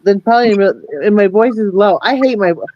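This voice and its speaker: A woman's voice: mid-range voice, fast talker. A woman chatting casually on a livestream, playfully reading out would-you-rather questions. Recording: dull lo-fi call audio.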